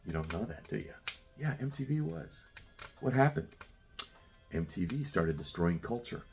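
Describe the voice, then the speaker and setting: A man's voice: medium pitch, sing-song, moderate pace, creaky, music in the background. A man in his sixties delivering a profane, confrontational rant straight at the listener. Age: 60s. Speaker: commentator. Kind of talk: ranting monologue.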